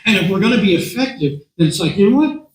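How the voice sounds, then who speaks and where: A man with a hoarse voice speaking to a meeting as a guest speaker, talking in a conversational way, repeating words and often clearing his throat. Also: high-pitched, very animated, fast talker, reverberant, poor audio. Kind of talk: talk to a meeting. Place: meeting room.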